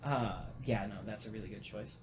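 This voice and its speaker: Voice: medium pitch, steady tone, brisk. Casual, sweary podcast host with background noise. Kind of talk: casual group chat.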